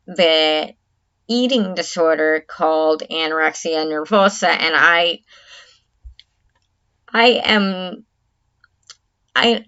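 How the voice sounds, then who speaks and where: A woman's voice: lowish voice, fairly bright tone, clear, resonant tone. A woman vlogger talking candidly to camera, earnest and reflective, often stopping and restarting her sentences. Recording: dull lo-fi recording.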